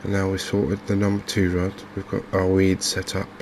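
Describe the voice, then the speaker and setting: A man speaking softly and unhurriedly in an ASMR style, murmuring his way through video game commentary as a streamer. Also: deep voice, completely flat delivery, noisy background. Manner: soft-spoken, unhurried, murmuring to himself.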